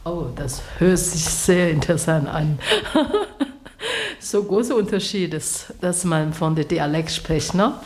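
A woman whose first language is not German interviews a guest in halting German, restarting her words often. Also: sits low, darkish tone. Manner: halting non-native German with many restarts. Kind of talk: casual interview. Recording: echoey room with faint noise.

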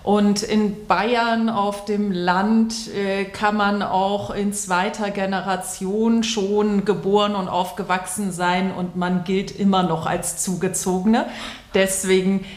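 Measured woman pastor, echoey room. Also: medium pitch, little pitch movement. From Bavaria, Germany. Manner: fluent standard German, explaining at length. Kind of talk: interview answers.

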